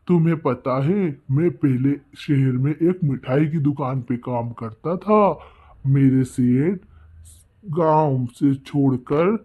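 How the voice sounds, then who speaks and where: Casting: man as anxious cartoon bird husband, highish male voice, plaintive and emphatic, tinny narrow-band recording. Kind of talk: children's cartoon story voice acting. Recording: thin phone-like band-limited sound.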